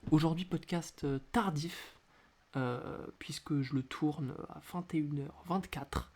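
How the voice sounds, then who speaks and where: A man hosting a casual solo podcast, talking quietly at home with a stuffy nose from a cold, hesitating often with filler sounds. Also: on the high side, slow. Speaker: podcast host. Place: indoors at home at night.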